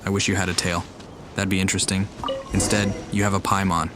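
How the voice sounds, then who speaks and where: Very low-voiced deadpan male gamer. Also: a little fast. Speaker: gamer playing a story quest. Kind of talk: casual let's play commentary. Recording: clear background noise and clicks.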